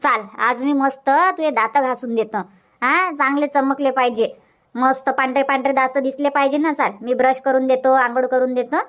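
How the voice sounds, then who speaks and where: A woman's voice: high voice, steady tone, a bit bright. Woman voicing a bossy old village grandma. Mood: coaxing. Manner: scolding, coaxing, rapid homely chatter. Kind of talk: cartoon dubbing.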